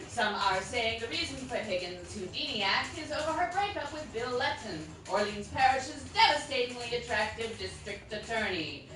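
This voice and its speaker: A woman's voice: fairly high pitch, sharp tone. A woman playing a gushing, gossipy 1940s radio society columnist with a theatrical, insinuating delivery. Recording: echoey hall, distant mic.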